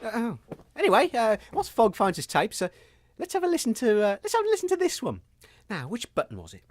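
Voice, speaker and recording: A man's voice: extremely high pitch, huge pitch swings, a little fast, no echo, exaggerated voice. A man playing a hapless, flustered and hesitant radio host in a scripted comedy. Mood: cheery, hesitant.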